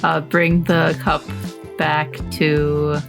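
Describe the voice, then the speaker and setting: A woman's voice: low-pitched, flat delivery, extremely slow, creaky, soft background music. A woman playing in a tabletop game, easygoing and laid-back. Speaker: player.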